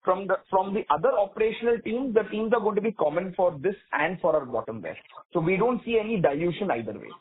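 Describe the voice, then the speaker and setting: A man's voice: sits high, animated, fast, husky. A man with an Indian accent speaking as a company executive on an earnings call, explaining and rephrasing his points as he answers. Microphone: telephone conference call. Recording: narrow tinny phone line.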